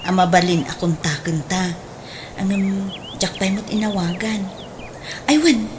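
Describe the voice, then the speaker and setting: A woman's voice: medium pitch, fairly even intonation, measured pace, natural voice. A woman playing an eager, enterprising grown daughter in a radio drama, with an Ilocano accent and exclamatory, swooping delivery. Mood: excited. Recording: faint background noise.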